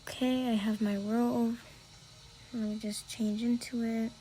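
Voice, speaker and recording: Girly high-voiced woman doing roleplay narration, little bit of background noise. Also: a little mellow, poor audio. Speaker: creator voicing a roleplay story. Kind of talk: amateur roleplay, narrating own actions.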